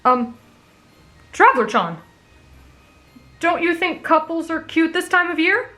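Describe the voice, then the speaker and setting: A woman's voice: very high-pitched, animated, a little slow, sharp tone, full character voice. Woman as anxious scheming teen boy, anime roleplay, petulant, whiny, exasperated, faint backing music. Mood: nervous, coy.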